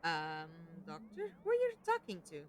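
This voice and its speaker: A woman's voice: on the low side, huge pitch swings, moderate pace. A young woman playing a bold, curious companion in an audio drama, eager and cheeky in manner. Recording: noisy mix with effects and ambience.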